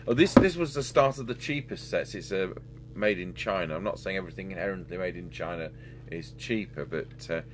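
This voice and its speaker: A middle-aged man giving a casual, halting repair demonstration, thinking aloud as a technician at work. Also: deep voice. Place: indoor workbench. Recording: steady hum and background noise.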